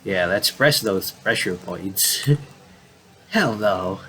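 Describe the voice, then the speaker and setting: Male game commentator, lowish voice, casual and halting, reads text aloud and rambles, slightly noisy home recording. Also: lively intonation, pushed and bright. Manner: rambling, self-correcting, halting. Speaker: gaming video commentator.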